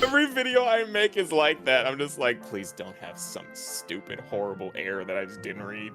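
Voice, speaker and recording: A man's voice: medium pitch, normal speed, music in the background. A man chatting casually as a guest on a stream, in a giggly, jokey manner. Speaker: guest content creator on a game ranking stream. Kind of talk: casual chat.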